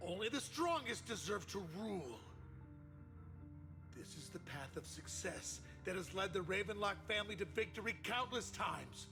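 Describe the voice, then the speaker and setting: An actor playing a menacing, domineering noble villain in a video game, delivering grandiose and ominous pronouncements. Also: male voice, high-pitched, animated, moderate pace, music in the background. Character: menacing, domineering noble villain, uncle preaching ruthless strength.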